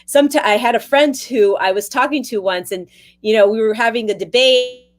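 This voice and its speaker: A woman's voice: a little high, fairly quick. A woman hosting a podcast, talking casually in an enthusiastic, affirming way with frequent restarts. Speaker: podcast host. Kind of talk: casual conversational podcast talk.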